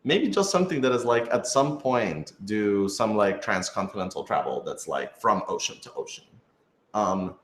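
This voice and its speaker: A man's voice: fairly low pitch, animated, moderate pace. A man chatting casually and unscripted on a live stream, thoughtful and hedging, with joking asides. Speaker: radio drama creator answering fan questions. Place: office, indoors. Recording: roomy, echoey indoor room.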